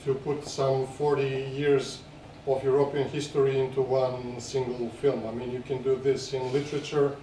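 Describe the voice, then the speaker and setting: A man's voice: medium pitch, level tone, moderate pace, hardly any pauses, warm tone. A man giving unscripted interview answers as a film director, hesitant and repeating words as he explains. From Serbia. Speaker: documentary film director, festival guest. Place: echoey screening venue. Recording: echoey room, distant mic, faint noise.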